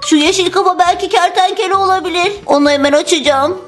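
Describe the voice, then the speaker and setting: A woman with a very high-pitched voice narrates toy play for children. Her style is playful storytelling, with music underneath. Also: fairly even intonation, fast talker.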